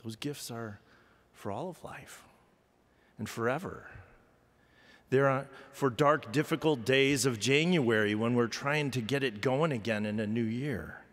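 A middle-aged man preaching a chapel sermon in measured, emphatic phrases with deliberate pauses. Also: medium pitch, fairly bright tone. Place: large chapel, indoors at a pulpit. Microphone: gooseneck lectern microphone. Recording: reverberant hall with long echo.

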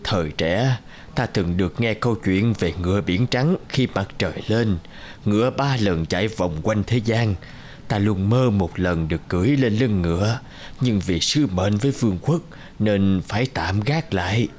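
A man voicing an old, wistful king and gentle father in a cartoon, speaking in a slow, solemn way. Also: on the low side, slight background noise, lightly acted.

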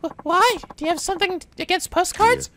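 A man voicing a cheerful young female office assistant in a video game, in a very high, breezy falsetto. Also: fairly quick, bright, forceful tone, slight background noise.

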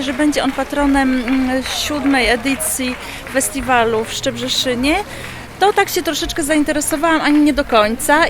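Female, bright high voice, casual festival vox pop over crowd chatter. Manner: chatty, informal, laughs easily. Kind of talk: casual interview answer. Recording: outdoor crowd noise.

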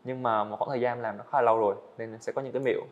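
Young man, quick earnest speech, slightly dull audio, indoor studio set. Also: medium pitch, steady tone. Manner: earnest, explains step by step. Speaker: guest animator. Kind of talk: casual interview answers.

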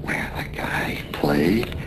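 An actor playing a dying, wistful seasoned sailor in a radio drama, talking haltingly and wearily in a weak, gravelly voice. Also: male voice, in a whisper. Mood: fond reminiscing. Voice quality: gravelly, creaky. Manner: halting, weary, drifting. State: weak, failing. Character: dying, wistful seasoned American sailor reminiscing to his mate. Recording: noisy radio drama with rumbling ambience.

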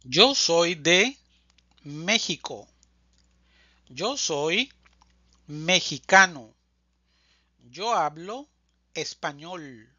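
A language teacher reading a scripted drill slowly, enunciating deliberately phrase by phrase, as a close voice-over. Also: mid-range voice, expressive, sharp tone, no room sound, highs cut off. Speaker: Spanish teacher.

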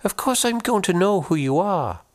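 A man voicing a kindly old-fashioned ghost woman who has lived in her farmhouse for centuries, with a motherly, gently teasing manner. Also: high-pitched, expressive, moderate pace, pitched above the performer's own voice.

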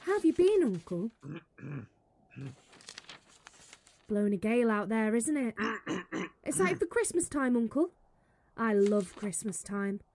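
An actress playing a chatty, caring young niece in a naturalistic audio drama, coaxing and conversational. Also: female voice, on the high side, very animated, normal speed, a little mellow.

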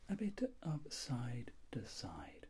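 A middle-aged man speaking in a soft, breathy, mostly whispered voice, gently and deliberately explaining each step as a doctor in an ASMR role-play. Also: mid-range voice, wildly swooping pitch. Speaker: ASMR performer playing a doctor examining a patient.

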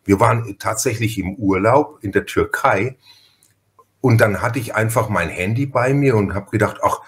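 A man with a fairly low voice chats as a podcast host. He talks casually and wordily, breaking off and repeating words as he circles toward his point. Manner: chatty, wordy, self-interrupting with repeated words. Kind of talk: casual interview chat.

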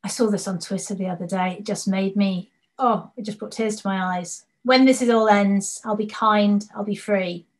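A woman's voice: medium pitch, fairly even intonation, moderate pace. A woman giving an enthusiastic presentation over slides on a video call, telling stories and letting her voice drop away at the ends of points. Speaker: panel speaker.

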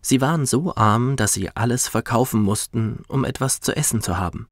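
A man gently and expressively narrating a scripted bedtime story for children, as a professional reader. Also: medium pitch, normal speed, dry recording, clean studio sound.

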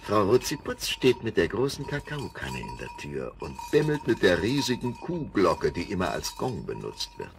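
An older man tells a children's story in a lively, storybook manner. His voice is very deep and gravelly, and it rises and falls widely. Music plays under him on an old vinyl rip. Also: normal speed, few pauses. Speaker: narrator.

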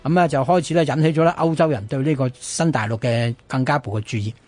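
Hk cantonese guy hosting history radio, talks fast, chatty with lots of particles, dry close studio sound. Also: mid-range voice, darkish tone, dry recording, faint music behind. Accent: Hong Kong Cantonese. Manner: frequent colloquial sentence-final particles. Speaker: radio host. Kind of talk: conversational history storytelling.

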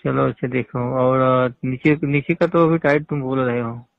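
A man's voice: mid-range voice, fairly even intonation, normal speed, gravelly, no echo. A man on a phone call, talking casually and insistently, often pressing with repeated questions. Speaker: phone caller. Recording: tinny phone-line call recording.

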